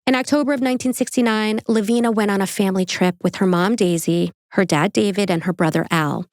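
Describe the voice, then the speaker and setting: A woman's voice: mid-range voice, fairly even intonation, quick pace, darkish tone, no room sound, clean studio sound. A woman with a New York accent hosting a true crime podcast, reading the case and breaking off for casual, joking asides.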